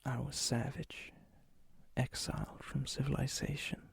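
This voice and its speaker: Man acting a breathy, slow, lonely male vampire. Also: mid-range voice, flat delivery, full character voice. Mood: wistful, sorrowful. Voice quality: soft, breathy, often near a whisper. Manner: hesitant, wistful, halting. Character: lonely, gentle, melancholy male vampire, immortal and lovelorn. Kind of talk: ASMR roleplay, intimate acted monologue.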